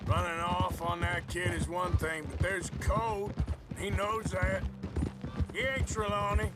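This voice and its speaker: An actor playing a gruff, grudging American outlaw gunslinger in a video game, terse and blunt with a drawl. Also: male voice, high voice, flat delivery, a little slow. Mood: resentful. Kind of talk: dramatic video game acting. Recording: loud outdoor game sound effects behind.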